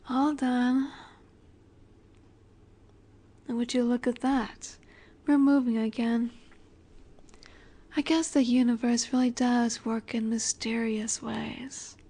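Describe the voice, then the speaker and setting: A woman playing a seductive, possessive vampire in an intimate roleplay audio drama, teasing and coaxing in a soft, breathy voice that often slips into whispers. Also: a little high, heavily acted. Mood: tender, soothing.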